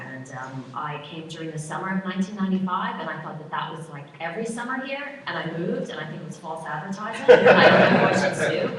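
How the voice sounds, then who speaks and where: American woman speaking in an echoey hall. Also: deep voice. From United States. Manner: steady, earnest delivery with filler ums. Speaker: panel speaker. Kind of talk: prepared forum speech. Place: conference hall. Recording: echoey hall from far off, faint noise.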